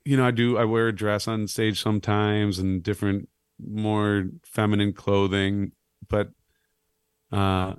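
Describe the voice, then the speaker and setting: A man in his thirties with a low, slightly raspy voice, chatting casually on a podcast as a guest, rambling and self-deprecating. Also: a little slow, no echo, studio quality. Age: 30s. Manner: rambling, self-deprecating, animated when joking. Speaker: clown and musician guest.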